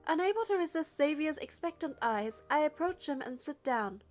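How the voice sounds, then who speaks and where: A woman reading a game's story aloud as narration in an expressive way. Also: high-pitched, moderate pace. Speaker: player narrating a game playthrough. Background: music.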